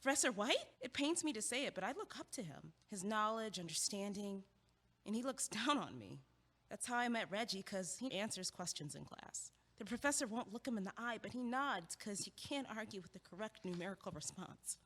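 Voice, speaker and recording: Sharp, blunt young student played by a woman, echoey hall. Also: medium pitch, expressive, a little fast. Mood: frustrated, determined. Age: young adult. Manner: sardonic, emphatic, outspoken. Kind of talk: stage-style audio play dialogue. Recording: echoey hall with long reverb.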